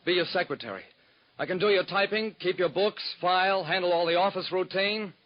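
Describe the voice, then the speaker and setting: A man's voice: sits high, fairly quick, natural voice. An actor playing an earnest, nervous young man asking a detective for work, in 1940s radio acting style, on a dull lo-fi old radio recording. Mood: eager. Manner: earnest, pleading. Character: eager young man job seeker, nervous. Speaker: secretary.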